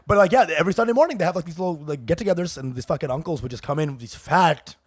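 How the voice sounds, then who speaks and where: Animated high-voiced man cracking crude jokes. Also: dry recording. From Texas, US. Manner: crude, jokey, quick to laugh. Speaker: podcast co-host, comedian. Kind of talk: casual comedic podcast banter.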